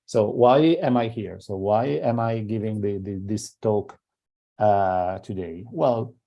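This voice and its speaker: A man's voice: on the low side, slow pace, creaky, dark tone, dull audio. A middle-aged man with an Italian accent giving an academic seminar talk, hesitant, with many fillers and restarts. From Italy. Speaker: invited seminar speaker.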